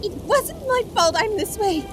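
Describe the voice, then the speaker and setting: A woman playing a tiny, haughty, distrustful fantasy creature in an audio drama, squeaky and dramatically indignant. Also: lively intonation, moderate pace, over music. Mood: indignant, accusing.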